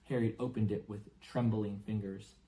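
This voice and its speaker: A man's voice: lowish voice, fairly even intonation, normal speed, dark tone, poor audio. Male narrator reading a storybook. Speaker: audiobook narrator.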